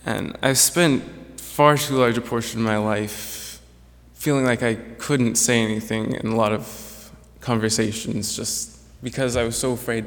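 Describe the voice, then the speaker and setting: A man's voice: mid-range voice, vocal fry. A young man, a graduating student, giving an earnest, reflective prepared speech to an audience. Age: young adult. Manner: earnest, reflective, with some restarts. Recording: echoey hall with long reverb.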